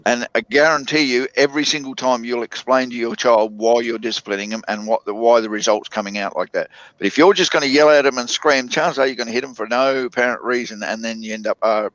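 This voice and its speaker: A man talks in a relaxed group conversation, often stopping to restart his sentences. His voice sounds a little dull and close, with no room around it. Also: mid-range voice, dry recording. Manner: halting, with many restarts and fillers. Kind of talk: casual group chat.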